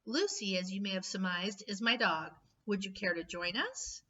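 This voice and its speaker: A woman's voice: mid-range voice, lively intonation, moderate pace. Woman doing a genteel, courteous bearded vagrant. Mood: genial. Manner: genteel, formal, gently playful. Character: enormous bearded man, gentle and courteous vagrant. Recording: dull, slightly distant recording.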